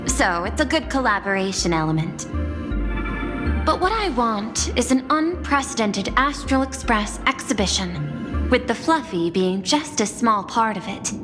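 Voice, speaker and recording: Poised high-voiced executive, long reverb. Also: female voice, very animated. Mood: grand, confident. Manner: formal, measured, persuasive. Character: poised, persuasive female executive, grandly confident schemer. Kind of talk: video game voice acting. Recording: long reverb, music behind.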